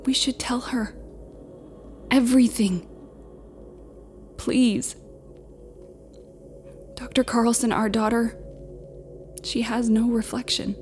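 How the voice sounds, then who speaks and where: A woman playing a fragile, pleading but steadfast mother in an audio drama, speaking with deliberate care. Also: on the high side, steady tone, darkish tone, faint music behind. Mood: pleading, grave.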